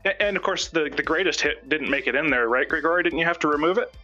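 Forceful, husky man, irreverent. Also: fairly high pitch, fast talker, lo-fi sound, faint music behind. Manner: joking, irreverent. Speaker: guest reader. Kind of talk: casual chat and dramatic reading on a stream.

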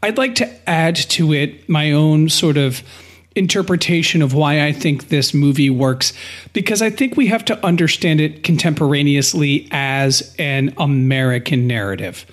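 A man co-hosting a podcast, discussing analytically in a deliberate, slightly formal conversational way. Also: a little high. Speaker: podcast co-host.

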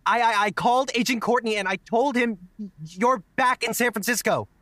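A man's voice: very high voice, moderate pace, pushed and bright, no room sound. A woman voicing an anxious young man who stutters as he finally stands up to his controlling partner. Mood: shaky defiant. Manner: stuttering, shaken, defiant. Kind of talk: audio drama acting.

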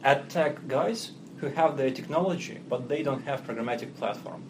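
A man's voice: medium pitch, normal speed. Russian-accented man, CEO guest, fluent but foreign phrasing, noisy recording. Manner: fluent but non-native phrasing, businesslike, frequent fillers. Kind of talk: podcast interview.

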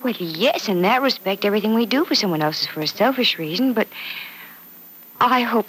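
A woman's voice: mid-range voice, animated, brisk, fairly bright tone, close to an everyday voice, low-quality recording. Young working-class fiancée, played by a woman, polite, hesitant, sincere, medium register, old radio soap with light hiss. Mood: earnest. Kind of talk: 1940s radio soap opera acting.

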